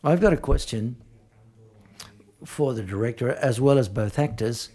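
A man interpreting live at a film press conference, speaking in halting, piecemeal phrases and often correcting himself. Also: mid-range voice, warm tone, husky.

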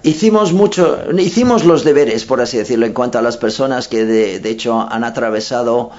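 A man's voice: mid-range voice, a little mellow. A man interpreting live at a press conference, hesitant, often breaking off to correct himself. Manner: hesitant, with frequent self-corrections. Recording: dull lo-fi sound.

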